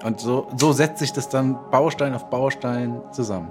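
A man recounts his co-writing process in a halting, think-aloud way. Clear music plays behind his voice. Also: medium pitch. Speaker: author. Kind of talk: casual interview talk.

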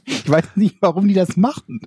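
Podcast co-host, man, mellow husky voice a bit high, teasing banter. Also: lively intonation, moderate pace, no room sound. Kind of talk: casual chat.

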